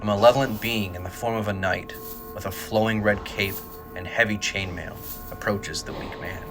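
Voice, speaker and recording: Male narrator for audio drama, lower register, measured dramatic storytelling, scripted read over prominent music. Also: fairly even intonation. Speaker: narrator, writer and director.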